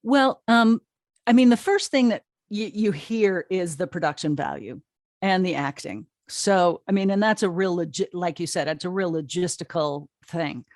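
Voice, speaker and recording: A woman with a fairly low voice speaks as an interview guest, chatting informally and with emphasis. She sounds enthusiastic, and the recording is dry with hardly any room sound. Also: normal speed, dry recording. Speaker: interview guest, audio drama streaming co-founder. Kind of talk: conversational interview answers.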